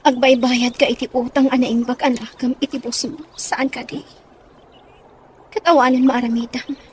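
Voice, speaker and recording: Actress as a high-voiced, heartbroken proud young woman. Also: level tone, moderate pace, slight background noise, natural voice. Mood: wounded. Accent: Filipino. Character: heartbroken, proud young woman rebuffing her former sweetheart. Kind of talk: Ilocano radio drama acting.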